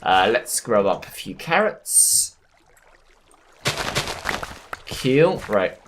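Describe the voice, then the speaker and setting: A man with a hoarse voice from a cold, easygoing and punny as he plans his moves aloud while commentating a game. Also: on the low side, very animated, slow, background noise. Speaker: gameplay commentator. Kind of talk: let's play commentary.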